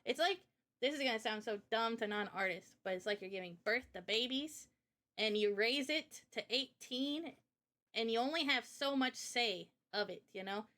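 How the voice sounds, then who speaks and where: Woman streamer just chatting, highish bright voice, really earnest and passionate about what she does. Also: animated, normal speed. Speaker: live stream host interviewing a guest; illustrator. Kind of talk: casual unscripted chat.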